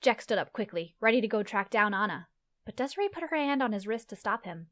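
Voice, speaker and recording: A woman's voice: mid-range voice, lively intonation, fast talker, fairly bright tone. A woman reading scripted fantasy story narration as the narrator of an audio play.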